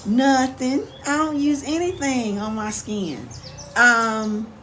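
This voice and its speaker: Animated, sassy American woman livestreaming. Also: fairly high pitch, takes it slowly. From United States. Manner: animated, sassy, colloquial, repeats catchphrases. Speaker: live stream host. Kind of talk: casual livestream chat, answering viewer questions. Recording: clear background noise, ambient sound.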